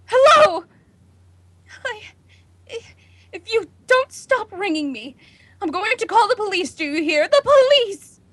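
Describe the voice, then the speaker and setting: An actress playing a nervous, imperious housewife on edge, in a melodramatic 1940s radio drama style. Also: female voice, very high voice, big pitch swings, measured pace, pauses often, a bit bright, dry recording, exaggerated voice. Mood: frantic, hysterical. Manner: haughty, melodramatic, agitated. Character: nervous, imperious, panicking housewife alone at night.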